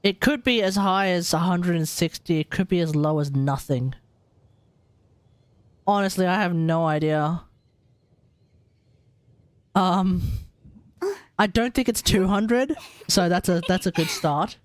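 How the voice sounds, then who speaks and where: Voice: medium pitch, a little slow, long pauses, dry recording. A game livestreamer chatting casually and thinking aloud in an informal, conversational way. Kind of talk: casual live stream commentary and chat.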